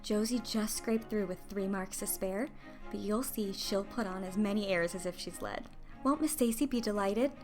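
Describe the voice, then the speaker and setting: A woman playing an excitable, devoted teenage best friend in a dramatised audiobook, talking in a breathless gush. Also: mid-range voice, normal speed, faint music behind, lightly acted. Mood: excited, gushing. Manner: breathless, gushing. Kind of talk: dramatised audiobook character acting.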